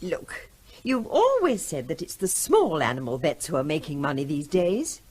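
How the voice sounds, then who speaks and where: An actress playing a brisk, pushy middle-aged wife in a radio drama. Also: female voice, sits low, big pitch swings, darkish tone, a little hiss or hum. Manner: brisk, nagging.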